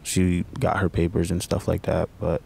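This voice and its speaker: Deep, gravelly man. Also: moderate pace, a little hiss or hum. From west side of Tucson, Arizona. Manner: relaxed, short reactions like "trip" and "dope". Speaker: podcast co-host. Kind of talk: casual podcast chat.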